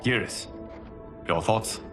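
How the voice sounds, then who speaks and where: An actor playing a noble, grave protector god in a video game, with formal, earnest, measured delivery. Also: male voice, over music, close to an everyday voice. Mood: concerned. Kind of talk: dramatic video game cutscene acting.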